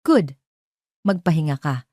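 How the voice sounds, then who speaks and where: A woman's voice: low voice, very animated, a little slow, dark tone, no echo, heavily acted. A deceitful Filipino husband in an audio drama , put on by a woman narrator. He talks with breezy, casual affection that covers his lies. The sound is dry and close. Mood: casual, falsely caring.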